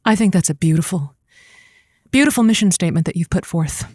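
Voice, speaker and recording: A woman's voice: lowish voice, animated, brisk, a little mellow, dry recording, clean studio sound. A woman playing a feisty, teasing host of a comedic call-in radio show. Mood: playful, breezy.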